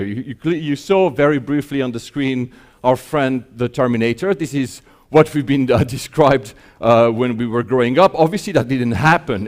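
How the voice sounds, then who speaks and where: A man's voice: mid-range voice, darkish tone. A middle-aged man from Switzerland gives an informal keynote talk in a conversational, wry, self-deprecating manner, often posing rhetorical questions. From Geneva, Switzerland. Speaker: conference keynote speaker. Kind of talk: informal keynote presentation on stage. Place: conference stage, addressing an audience.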